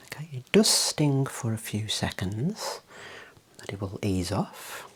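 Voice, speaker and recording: A man speaking softly and breathily, often dropping into a whisper, playing an eye clinician in a gentle, reassuring ASMR role play. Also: fairly low pitch, very animated, slow, slightly rough. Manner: gentle, procedural, reassuring instructions.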